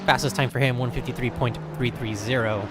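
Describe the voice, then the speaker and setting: A man's voice: mid-range voice, fairly even intonation, brisk, over loud music. An American man giving casual, chatty commentary on a sim racing qualifying session. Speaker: race commentator. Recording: loud game engine noise behind.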